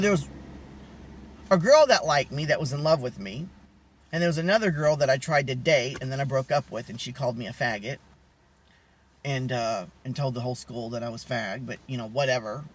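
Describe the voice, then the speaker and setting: A young man with a high voice, telling personal stories in a rambling, candid way, often losing his thread. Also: animated, fairly quick, a bit bright, slight background noise, low-quality recording. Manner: rambling, candid, lots of restarts and asides. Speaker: vlogger. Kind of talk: casual storytelling to camera.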